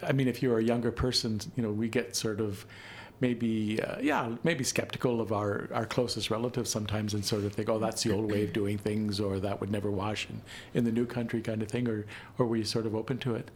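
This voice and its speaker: A man's voice: on the low side, steady tone, fairly quick, a little hiss or hum. A man hosting an interview, chatty and encouraging with frequent affirmations. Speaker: interviewer host. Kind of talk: casual interview conversation.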